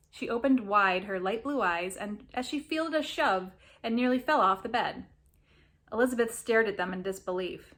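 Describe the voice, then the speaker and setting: Higher-pitched woman reading a story aloud. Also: a bit bright. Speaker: reader of a story. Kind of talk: expressive storybook read-aloud.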